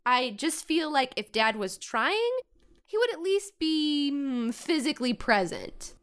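Casting: outspoken, sarcastic young American daughter, high voice with big swings, natural audio-drama dialogue. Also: female voice, very animated, a bit bright, exaggerated voice. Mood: defensive, irritated. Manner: blunt, indignant, quick to retort.

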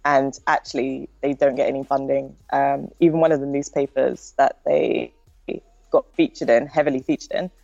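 A woman's voice: extremely low pitch, little pitch movement, normal speed, gravelly, a little mellow, no room sound, highs cut off. A young woman with a nasal voice, chatting casually as a podcast guest and filling her talk with likes and ums. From Britain. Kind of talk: casual interview chat.

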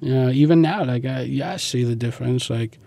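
A man's voice: medium pitch, a little fast, vocal fry, dark tone, no echo. Young man talking casually, dry close sound. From Guatemala. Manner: informal, slangy, peppered with "like" and "you know". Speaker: interviewee. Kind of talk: casual conversational interview.

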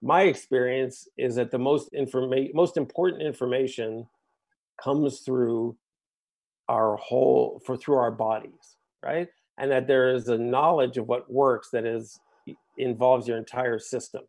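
A man from the United States, here as a guest musician in a relaxed interview. He speaks in long, winding, reflective thoughts and keeps checking in with his host through little asides like "right?" and "you know". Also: medium pitch, unhurried, gravelly.

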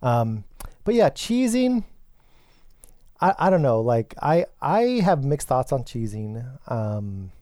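A man co-hosting a gaming podcast, a little hoarse and congested from a recent illness, talking hesitantly. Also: medium pitch, sing-song, slow pace, mellow, dry recording, clean studio sound. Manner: hesitant, lots of fillers and restarts. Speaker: podcast co-host. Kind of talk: casual podcast chat.

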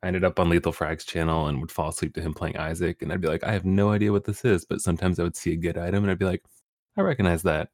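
A man's voice: sits low, steady tone, fast talker, a little mellow. A young man, a streamer guest on a podcast, talking casually with easy laughs and rambling restarts. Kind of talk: casual interview chat.

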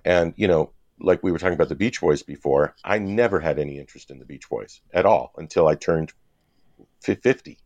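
Very deep-voiced man in his fifties. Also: a little fast, darkish tone, no echo, muffled recording. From Wisconsin. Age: 50s. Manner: rambling, with many fillers and restarts. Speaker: guest cartoonist. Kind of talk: casual podcast conversation.

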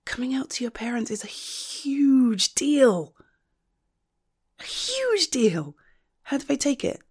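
A woman acting as a loyal, protective friend in an intimate audio roleplay, speaking earnestly and emotionally with halting, emphatic phrasing. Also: on the high side, darkish tone, husky, exaggerated voice. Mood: earnest concern.

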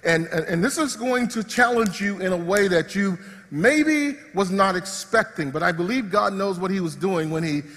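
Man, preacher, high-pitched, animated, long church reverb. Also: normal speed. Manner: animated, acts out body parts in comic voices. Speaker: pastor. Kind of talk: sermon. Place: church sanctuary.

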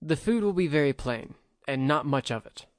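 A man's voice: a little high, animated, moderate pace, dry recording, clean studio sound, lightly acted. A man reading a story, voicing a wary peasant woman who speaks bluntly and grudgingly.

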